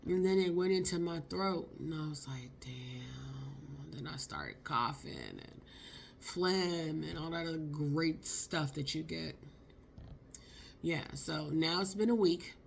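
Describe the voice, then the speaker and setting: Hoarse, gravelly-voiced chatty woman. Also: low-pitched, slow, slight background noise. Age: 50s. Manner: chatty, expressive, slangy. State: hoarse from a cold. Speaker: vlogger. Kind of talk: casual storytelling vlog.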